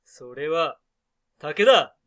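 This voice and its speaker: An actor playing a theatrical game master in a radio drama, with a pompous announcer-like delivery. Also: male voice, on the high side, sing-song, drawn-out words, sharp tone, exaggerated voice. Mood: grandly declaring. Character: theatrical male game master running a punishment eating contest.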